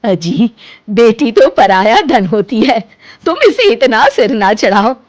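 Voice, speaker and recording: A worried middle-aged landlord's wife from an animated story, voiced by a woman in her own register, gently scolding in a lively tone. The sound is dry and close. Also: a little high, slow, no room sound. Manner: gently chiding.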